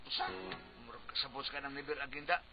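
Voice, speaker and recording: A man's voice: medium pitch, flat delivery, quick pace, music in the background, close to an everyday voice. A man acting a touchy, indignant husband in a radio comedy drama, with a Cebuano accent and a whiny manner. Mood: sulky, irritated. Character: touchy, easily offended husband, blustering and indignant. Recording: dull lo-fi radio.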